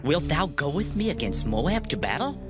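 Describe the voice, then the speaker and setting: A man's voice: fairly high pitch, very animated, normal speed, music in the background. A man playing a resolute king in dramatized scripture, speaking formally and with command. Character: resolute male king of Israel seeking an ally. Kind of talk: dramatized scripture audio acting.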